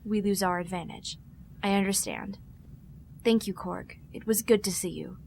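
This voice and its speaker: A woman playing an earnest, sharp starship security lieutenant in an audio drama, serious and direct. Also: mid-range voice, normal speed, creaky, slight background noise, close to an everyday voice. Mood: concerned.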